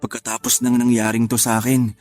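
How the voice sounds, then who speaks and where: An actor voicing a gentle older brother after a breakup. His voice sits in an ordinary middle range, quiet and sighing, with self-blame running through it. Soft music plays beneath him. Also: medium pitch, little pitch movement, a little fast, lightly acted. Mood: sad. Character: heartbroken, gentle older brother, young man. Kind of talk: radio drama acting.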